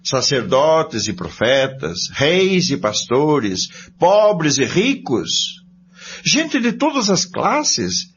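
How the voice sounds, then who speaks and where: A man's voice: a little high, wildly swooping pitch, drawn-out words, a bit bright. A man reads scripted religious narration in a declamatory, emphatic way. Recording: dull lo-fi audio with faint noise.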